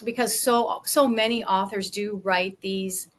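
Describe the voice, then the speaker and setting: Expressive, chatty woman interviewer. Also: mid-range voice, a little slow. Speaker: interviewer and memoir author. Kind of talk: casual conversational interview.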